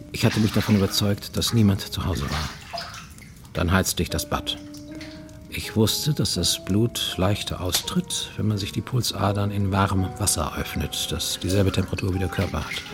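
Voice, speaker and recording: An actor playing a brooding, lonely man confessing his troubled youth in a radio play, halting, intense and confiding, often close to whispering. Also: deep voice, gravelly, heavily acted. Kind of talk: dramatic first-person monologue in a radio play. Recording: studio radio play with music underneath.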